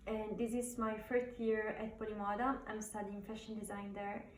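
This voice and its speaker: A woman in her twenties from southern Italy with an Italian accent, answering interview questions from home, often pausing on "um" and "uh". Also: medium pitch, level tone. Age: 20s. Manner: hesitant, with many "um" and "uh". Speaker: fashion design student. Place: at home on an island. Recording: echoey room, distant mic, faint noise.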